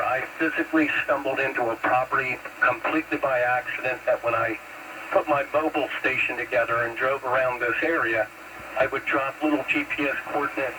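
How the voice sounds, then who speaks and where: Distant, noisy CB radio voice of American man. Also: mid-range voice. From Pennsylvania, United States. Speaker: CB radio operator. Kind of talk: casual radio chat, storytelling. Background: radio static.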